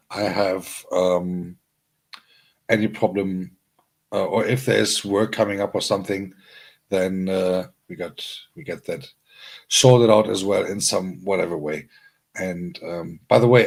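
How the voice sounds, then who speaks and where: Husky low-voiced man chatting on a livestream. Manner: rambling, halting, with many fillers and restarts; friendly, announcing upcoming streams to viewers. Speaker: livestream host and DJ. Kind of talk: casual livestream chat.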